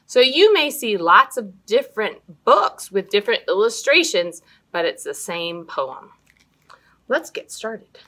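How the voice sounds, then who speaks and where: An American woman, likely in her thirties, hosts children's storytime indoors with an expressive, sing-song delivery, speaking to young children. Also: mid-range voice, unhurried. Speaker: children's library storytime host. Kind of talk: children's storytime, interactive games and picture book reading. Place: small indoor room hung with fabric drapes.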